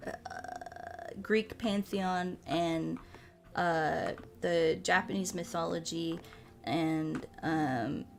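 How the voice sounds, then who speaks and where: Casual woman streamer over clear music. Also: sits low, very slow. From United States. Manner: animated rambling, frequent swearing. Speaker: streamer chatting with viewers during a puzzle game. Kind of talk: casual livestream chat.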